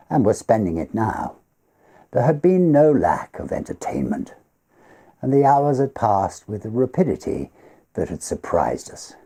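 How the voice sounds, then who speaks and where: A man with a husky, gravelly voice reads a literary ghost story aloud in a theatrical, measured way. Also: lowish voice. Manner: theatrical, measured, expressive phrasing. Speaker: story reader.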